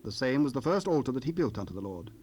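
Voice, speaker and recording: A man narrating scripture from a script in a solemn, measured manner. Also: mid-range voice, sing-song, a little mellow. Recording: noisy cassette rip, ambient sound.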